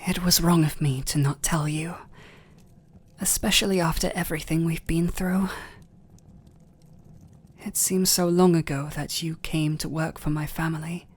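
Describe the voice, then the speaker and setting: A man with a British accent playing a tender, conflicted vampire lord in love, in an intimate, breathy ASMR roleplay with formal, halting phrasing. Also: fairly high pitch, fairly even intonation. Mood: pained, confessing. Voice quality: breathy, often near a whisper.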